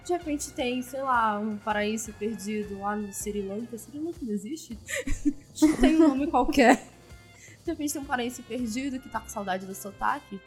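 A woman podcaster chatting casually in an animated, self-deprecating way. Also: fairly high pitch, normal speed, faint music behind.

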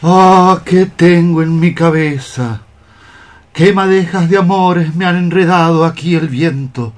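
A man's voice: a little high, slow pace. A man playing a young lovesick cockroach, declaiming lyrical verse in a radio play. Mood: lovesick melancholy. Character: young lovesick male cockroach poet, melancholy and tender. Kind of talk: poetic radio theatre acting.

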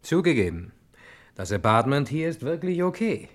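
An actor playing a hard-boiled, cocky hero who narrates a German radio crime play in clipped slang, talking straight to the listener. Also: male voice, a little high, big pitch swings, normal speed. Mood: dry, suspicious.

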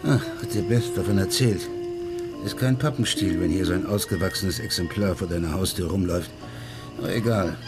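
An actor playing an unruffled, worldly middle-aged former policeman, talking calmly and wryly in a radio crime drama. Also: deep voice, a little fast, loud music behind the voice. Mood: placating. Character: unruffled former Amsterdam policeman living abroad, worldly and wry.

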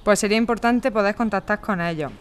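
A woman acts a veteran neighbourhood activist in a radio drama. The voice is smooth and clean, at a middle pitch, and the delivery is calm, practical and explanatory. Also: fast talker, lightly acted. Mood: calm, helpful. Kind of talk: amateur radio drama acting.

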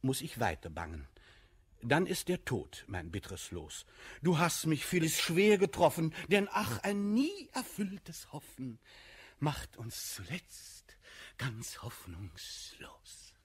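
A gushing, husky, vain courtier poet. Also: male voice, on the high side, takes it slowly, no room sound, full character voice. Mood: swooning recitation. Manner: effusive, flattering, affectedly modest, theatrical. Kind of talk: classical verse comedy radio acting.

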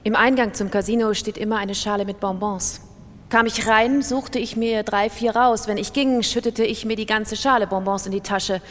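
A woman's voice: mid-range voice, steady tone, moderate pace, in a large hall, lightly acted. A woman playing an obsessive gambler in a confessional radio-drama monologue. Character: obsessive female gambler confessing her addiction.

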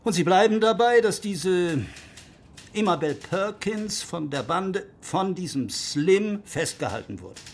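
An exasperated, officious district attorney in a crime radio play. His fairly high voice puts questions formally and in clipped phrases. There is some noise behind him. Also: expressive, a little slow. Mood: stern, formal. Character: exasperated, officious middle-aged male district attorney named Lawrence. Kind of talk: radio crime drama acting.

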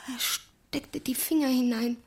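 Husky, wounded young-sounding woman confiding. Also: high voice, steady tone, exaggerated voice. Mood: anguished. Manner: halting, intimate, often dropping into breathy whisper. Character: traumatized, bitter assault victim confiding in her old friend. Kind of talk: German audio drama acting.

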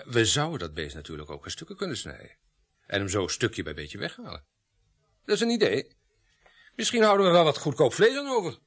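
A man's voice: medium pitch, sing-song, a little fast, slightly rough, no echo. An actor playing a roguish, easygoing village policeman in a radio play, with a droll, tongue-in-cheek manner. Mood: mischievous.

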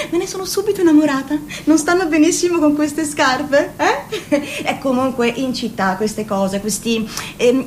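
A woman's voice: high voice, a little hiss or hum. A woman playing a chatty, doting middle-aged mother in a radio play, gushing and laughing often.

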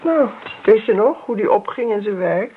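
A woman's voice: medium pitch, expressive, moderate pace, lightly acted. An actress playing a brusque, domineering older woman who speaks curtly and cuttingly in a radio play. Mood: brisk. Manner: curt, cutting, commanding. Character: brusque, busy, sharp-tongued older woman, domineering friend. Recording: tinny, noisy old radio play recording.

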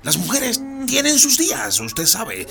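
Slow-talking, swooping wheedler of a husband. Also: male voice, high-pitched, wildly swooping pitch, sharp tone, full character voice. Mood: wheedling, sly. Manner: cajoling, smooth-talking, drawn-out emphatic words. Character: wheedling, abusive husband, a tire patcher who bribes and threatens. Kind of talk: radio drama acting.

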